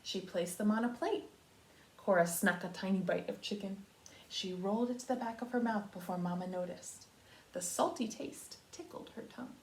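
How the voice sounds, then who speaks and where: A woman reading a children's storybook aloud like a kindergarten teacher, expressive and pausing to talk to young listeners. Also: medium pitch, slight background noise. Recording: roomy echo.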